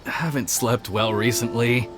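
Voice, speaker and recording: A man's voice: mid-range voice, steady tone, measured pace, lightly acted. An actor playing an anxious young male servant in a video game, hesitant and apologetic, often sighing. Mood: uneasy. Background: game music.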